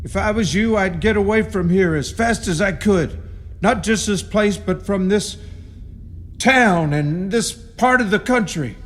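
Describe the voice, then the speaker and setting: Man acting a high-pitched, ominous rustic caretaker. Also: unhurried, fairly bright tone. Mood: ominous warning. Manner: folksy rural grammar, foreboding, cryptic. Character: ominous rustic caretaker, grimly warning intruders. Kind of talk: radio horror drama acting. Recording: long reverb with music behind.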